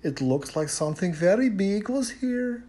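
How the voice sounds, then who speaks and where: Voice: medium pitch, animated, normal speed, dark tone, pitched above the performer's own voice, lightly acted. A storyteller voicing a nervous, suspicious little Viking girl in a storybook reading. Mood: worried. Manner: worried, suspicious.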